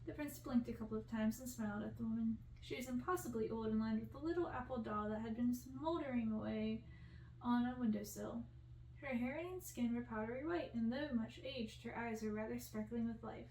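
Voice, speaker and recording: High-voiced woman reading a story, roomy sound. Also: brisk, warm tone. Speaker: audiobook-style reader for ASMR channel. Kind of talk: ASMR storybook reading, scripted narration. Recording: distant roomy recording with faint hiss.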